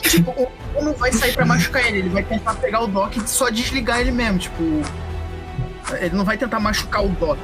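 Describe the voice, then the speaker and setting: A man's voice: very high-pitched, fast. A man playing a tabletop RPG, reasoning insistently in character and casually narrating his moves. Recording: music clearly behind.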